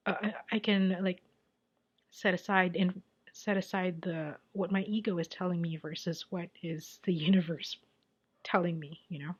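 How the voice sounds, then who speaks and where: Filipina tarot reader chatting, lowish voice, keeps going soft almost whispery, kinda dull lo-fi audio. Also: female voice. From Philippines. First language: Tagalog. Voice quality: chatty, repeats herself for emphasis, often drops to a near whisper. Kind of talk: casual advice monologue.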